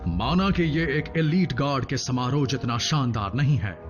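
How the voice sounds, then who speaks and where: A man's voice: medium pitch, lively intonation, highs cut off, close to an everyday voice. Noble robot leader voiced by a man, music behind. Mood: solemn, approving. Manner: measured, earnest, commanding. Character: noble, solemn male robot team leader. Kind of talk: Hindi cartoon dub acting.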